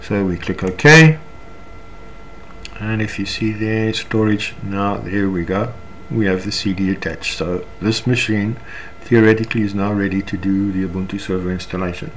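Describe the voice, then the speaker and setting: A South African man with a fairly low voice gives an unscripted software tutorial. He explains each step haltingly as he goes. Faint noise and room ambience sit behind his voice. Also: poor audio. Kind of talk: unscripted screencast walkthrough.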